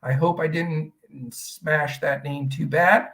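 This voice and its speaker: A middle-aged man from Western Pennsylvania breaks down picks as a podcast host. His voice sits a little higher than most men's. He rambles in a loose, conversational way, full of fillers and restarts. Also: steady tone, takes it slowly, fairly bright tone. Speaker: fantasy sports podcast host. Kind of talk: casual podcast analysis.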